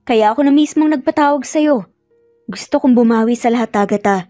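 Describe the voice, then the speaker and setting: An earnest young boss pleads for love with remorse in a romance tale, acted out by a woman. The voice is soft-edged and mellow, with music playing underneath. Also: a little high, brisk, no echo, studio quality, lightly acted. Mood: earnest, tender. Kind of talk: dramatic romance storytelling.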